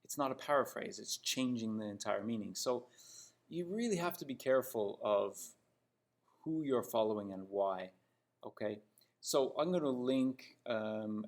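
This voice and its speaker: A man's voice: fairly low pitch, creaky. A man from Toronto with a Canadian accent, giving an English lesson in an emphatic, didactic manner with frequent check-in questions. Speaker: English teacher. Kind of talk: spoken English lesson critiquing example sentences.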